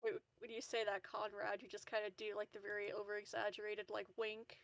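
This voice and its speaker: A woman's voice: medium pitch, monotone, brisk, vocal fry, a bit bright, no room sound. A woman chatting casually as a roleplaying game player, in informal, loosely phrased talk, recorded at some distance from the mic.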